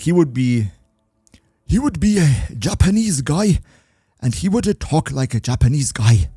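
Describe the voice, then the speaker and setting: A man's voice: medium pitch, darkish tone, no echo, clean studio sound. A man chatting casually with viewers on a livestream, reading chat aloud and joking around. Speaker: streamer.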